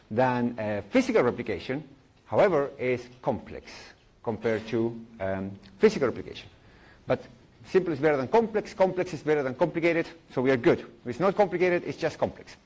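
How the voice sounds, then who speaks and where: A man's voice: medium pitch, very animated, moderate pace, a little mellow. A middle-aged man from Chile, giving a playful live technical talk at a conference, full of jokes, fillers and restarts. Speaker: conference speaker. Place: conference room.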